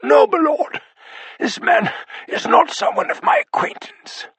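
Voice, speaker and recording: A man voicing a frightened, injured male manservant who speaks on the phone in a strained, muted, breathy voice. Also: very high voice, huge pitch swings, measured pace, a bit bright, no echo, voice pushed up, heavily acted.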